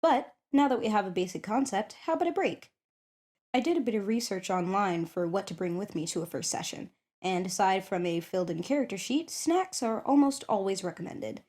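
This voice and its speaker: A woman's voice: medium pitch, animated, a little fast, a little mellow, natural voice. A woman playing a shy but eager popular schoolgirl in an ASMR roleplay, chatting hesitantly and thinking aloud. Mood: playful, eager. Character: shy, eager popular schoolgirl curious about tabletop games. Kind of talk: ASMR roleplay, one-sided conversation.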